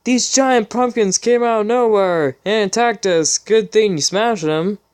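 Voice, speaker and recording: A man voicing an earnest little girl unicorn filly, excitable and childlike, in a story reading. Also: sits high, unhurried, clear, resonant tone, pitched above the performer's own voice. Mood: excited, grateful.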